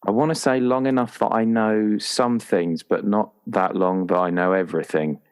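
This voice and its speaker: A man's voice: on the low side, fairly even intonation, moderate pace, smooth. A man chatting casually and playfully as a tabletop roleplaying player, enthusiastic and prone to ramble. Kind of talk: casual chat about a game character.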